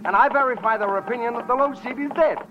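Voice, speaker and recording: A muffled, hissy vintage radio recording of a flustered male theater manager. His voice sits high, and he talks in wordy, excitable bursts with pompous boasts. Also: quick pace. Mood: anxious. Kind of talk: old-time radio drama acting.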